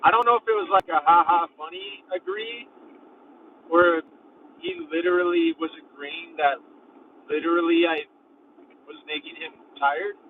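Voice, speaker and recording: A man's voice: fairly high pitch, fairly even intonation, normal speed, long pauses. A young man casually vlogging while driving his van, rambling and leaning heavily on filler words. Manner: rambling, heavy use of "like". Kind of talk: casual road-trip vlogging. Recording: thin phone-like sound from a distant mic in a car. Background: faint road and engine noise.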